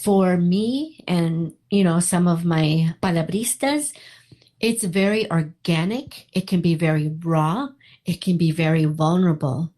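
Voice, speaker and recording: A woman giving a reflective interview, speaking earnestly and deliberately, often repeating her phrasing for weight. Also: on the low side, dark tone. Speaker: poetry group co-founder being interviewed.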